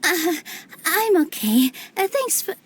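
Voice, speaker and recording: Sweet young woman, very high voice, unhurried, polite, game cutscene. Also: big pitch swings, a little hiss or hum, heavily acted. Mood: kind, hesitant.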